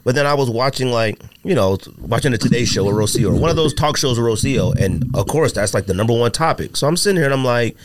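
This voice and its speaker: A man hosting a casual podcast chat, telling stories with lots of fillers in an animated, drawn-out way. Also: mid-range voice, background noise. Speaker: podcast host.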